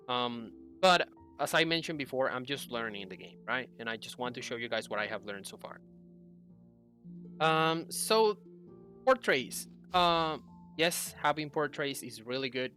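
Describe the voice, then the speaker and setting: Young male gaming host, bright forward voice, casual explanatory delivery with some hesitation, music behind. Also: medium pitch. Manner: hesitant, explanatory, self-correcting. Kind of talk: casual game guide commentary. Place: indoor room at a computer.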